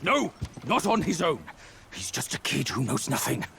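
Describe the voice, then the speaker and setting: An actor voicing a blustery, protective, hot-tempered pig-demon companion in a fantasy game, blunt and emotional, with a creaky, bright voice. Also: male voice, mid-range voice, wildly swooping pitch, normal speed, a little hiss or hum, full character voice. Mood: indignant. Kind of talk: scripted fantasy game acting.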